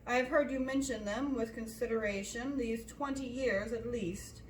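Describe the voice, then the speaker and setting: A woman voicing a sarcastic middle-aged father in a storybook reading, measured, wry and mock-polite. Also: on the high side, reverberant, far from the mic, a little hiss or hum, lightly acted, low-quality recording. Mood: dryly sarcastic.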